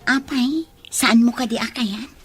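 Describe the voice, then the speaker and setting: A woman's voice: a little high, measured pace, faint music behind, lightly acted. A woman playing an earnest young daughter who pleads with her family, in audio drama dialogue. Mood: persuading.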